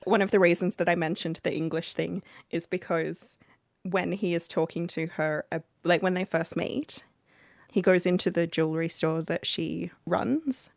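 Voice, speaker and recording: Woman podcast co-host, lowish dry voice. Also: normal speed, vocal fry, no echo. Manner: reads passages with put-on voices, laughs easily. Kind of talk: casual chat and reading letters aloud.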